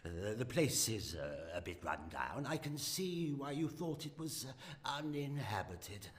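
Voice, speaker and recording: An actor playing an eccentric, sinister male scientist in a radio play, with a halting, polite manner. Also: medium pitch, very animated. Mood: apologetic, ingratiating. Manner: hesitant, halting politeness with many stammers. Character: eccentric sinister male scientist, hesitant host turning coldly clinical. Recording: radio drama recording.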